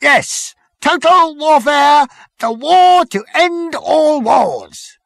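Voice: sits high, expressive, drawn-out words, gravelly, fairly bright tone, no echo, studio quality, voice pushed up, exaggerated voice. A narrator voicing an eager, blustering male military second-in-command, clipped and zealous, for a comic storybook.

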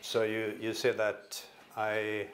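An older man from Finland with a Finnish accent gives a conference presentation in a hall, speaking haltingly and repeating words as he restarts. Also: fairly low pitch, completely flat delivery, a bit bright, slight background noise. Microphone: stand microphone at a podium.

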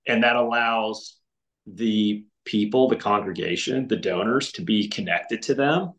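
A man's voice: lowish voice, a little slow, fairly bright tone, lo-fi sound. Earnest man on a roomy video call. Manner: many restarts and fillers, earnest. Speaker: podcast guest. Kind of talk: casual video call chat. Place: home room with windows. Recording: roomy echo.

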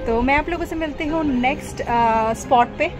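A woman's voice: sits high, normal speed. A young woman vlogging casually to her viewers, talking into a phone held at arm's length. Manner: chatty, addresses viewers directly, mixes in English phrases. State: out of breath after climbing stairs. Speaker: travel vlogger. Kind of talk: casual travel vlog. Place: outdoors at a hilltop temple, later an indoor mall food court. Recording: background music under the voice.

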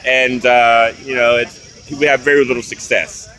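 A man's voice: mid-range voice, flat delivery, measured pace, pushed and bright, low-quality recording. A man who works as a homeless advocate interviews protesters at an outdoor camp. He speaks earnestly and halts often, repeating words, recorded on a handheld camera. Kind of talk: street interview.

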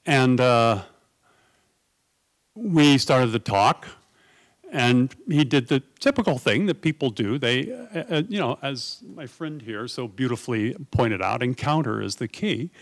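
A middle-aged man from New York, speaking at a conference panel table into a stand mic, telling anecdotes in a lively, conversational way. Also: medium pitch, measured pace. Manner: animated anecdotal, acts out quoted voices. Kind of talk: conversational storytelling.

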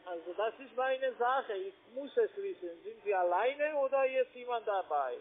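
A man with a foreign accent speaking non-native German in halting phrases, insistently working a sales call as a call-center trader. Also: very high-pitched, expressive. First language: not German. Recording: tinny narrowband phone line.

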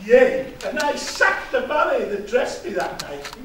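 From far off in an echoey, noisy hall comes a pompous pantomime king played by a man. His voice is very high and swoops about as he blusters in exasperation with quaint, theatrical exclamations. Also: sing-song, unhurried, exaggerated voice. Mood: pompous, blustering. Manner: pompous old-fashioned exclamations. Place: theatre stage. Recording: distant stage mic, echoey hall, loud noise.

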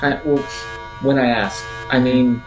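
A man's voice: mid-range voice, fairly even intonation, unhurried. A man playing a tabletop role-playing game, talking casually in a reasoning, questioning way. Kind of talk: casual improvised game talk. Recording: clear music bed behind voice.

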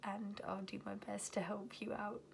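A young woman from the UK, a university student, chatting to camera in her bedroom, her voice raspy from being ill and often half-whispered. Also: medium pitch, flat delivery, a little slow, a little hiss or hum. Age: young adult. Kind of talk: casual chat to camera. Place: bedroom at home, indoors.

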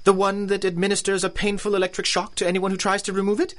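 An actor playing a pompous, coldly courteous colonel and villainous big-game hunter in a radio drama. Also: male voice, high voice, monotone, fast, fairly bright tone. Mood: coolly polite. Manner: pompous, theatrical, genteel menace.

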